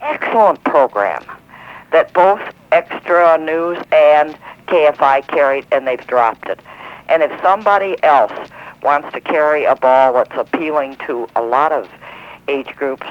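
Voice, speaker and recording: A woman's voice: deep voice, lively intonation, unhurried. Female caller on a dull phone line. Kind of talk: casual opinionated chat. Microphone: telephone.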